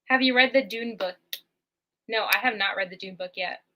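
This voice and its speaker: A woman's voice: medium pitch, normal speed, bright, forceful tone, slight background noise. A young woman guest in a casual livestream chat who laughs often and uses plenty of fillers. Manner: giggly, with frequent laughs and fillers.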